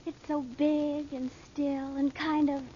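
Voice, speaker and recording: A woman's voice: sits high, little pitch movement, takes it slowly, mellow. An actress playing a romantic, easily flustered American teenage girl in an old radio drama, gushing and wistful. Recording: dull, noisy old radio recording.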